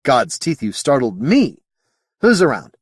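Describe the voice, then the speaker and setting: A blunt male naval captain with a short temper, voiced by a male storyteller. He speaks in clipped, exasperated bursts with lively pitch. The recording is dry and close. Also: measured pace, slightly rough, no room sound, heavily acted.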